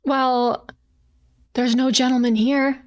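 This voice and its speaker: A woman plays a tabletop role-playing game, talking in a casual, joking way and swearing freely. Her voice sits high. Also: flat delivery, slow. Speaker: player.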